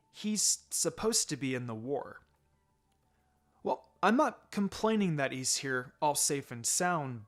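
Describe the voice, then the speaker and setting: A man reading a story aloud in an expressive, dramatic way as its narrator. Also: a little high. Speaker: fan fiction reader and narrator.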